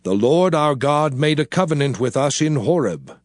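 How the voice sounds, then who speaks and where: A man's voice: fairly high pitch, lively intonation, moderate pace, no echo, studio quality, lightly acted. An actor playing a solemn, impassioned prophet exhorting his people in grave, emphatic scriptural oratory. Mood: solemn commanding. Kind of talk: dramatized scripture oratory in archaic English.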